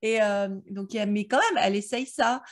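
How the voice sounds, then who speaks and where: A woman's voice: mid-range voice, fairly quick. A woman co-hosting a book club podcast, talking casually and colloquially, telling stories with rambling asides. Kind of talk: casual discussion of an audio drama.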